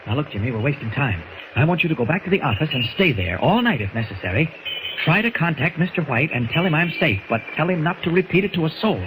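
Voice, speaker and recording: A man's voice: fairly high pitch, very animated, fast talker. An actor playing a purposeful male newspaper reporter, confiding and authoritative, in 1940s radio acting. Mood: brisk, purposeful. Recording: noisy 1940s radio recording.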